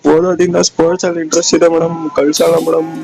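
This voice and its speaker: A man's voice: fairly high pitch, flat delivery, very fast, creaky, a little mellow, slight background noise. A man on a prank call, playing a pleading, deferential homeless teenage boy who failed school. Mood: earnest complaining. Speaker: prank caller.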